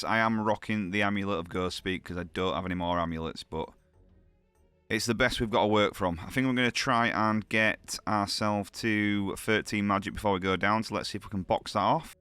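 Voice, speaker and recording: A man's voice: low voice, bright, forceful tone, smooth, no room sound. Man with a cold, commentating gameplay. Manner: chatty, upbeat, slangy. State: has a heavy cold. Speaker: gaming channel host.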